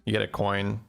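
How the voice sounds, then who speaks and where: A man giving relaxed, chatty game commentary and answering chat questions, with faint music behind. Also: on the low side, creaky. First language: Dutch. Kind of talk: casual gameplay commentary.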